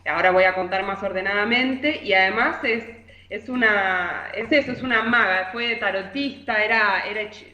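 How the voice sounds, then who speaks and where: Bright-voiced Argentine woman in a roomy space. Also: medium pitch, normal speed, highs cut off. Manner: discursive, self-correcting. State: congested with allergy or flu. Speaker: radio literary columnist. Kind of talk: informal literary commentary. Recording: roomy echo.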